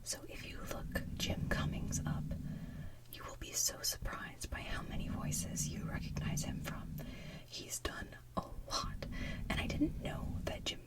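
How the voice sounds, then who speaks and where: A woman hosting ASMR, chatting casually in a hushed, breathy whisper with an unhurried, rambling manner. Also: far from the mic, low-quality recording. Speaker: ASMR channel host.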